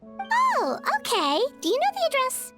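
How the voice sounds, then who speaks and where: A woman's voice: very high-pitched, huge pitch swings, measured pace, bright, forceful tone, faint music behind, full character voice. A woman voicing a small, chirpy, inquisitive fairy companion with a childlike voice in a video game. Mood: friendly, inquisitive.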